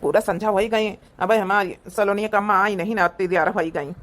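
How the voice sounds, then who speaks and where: A woman's voice: medium pitch, steady tone, a little fast, natural voice. A woman voicing an ailing village husband in a cartoon comedy, consoling and homely, with an Awadhi accent. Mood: concerned.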